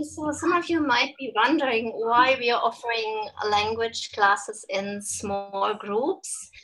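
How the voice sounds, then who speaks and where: A woman's voice: fairly high pitch, pushed and bright, a little hiss or hum, rough recording. A woman who teaches German, opening and moderating an online panel with many hesitant fillers. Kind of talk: panel introduction.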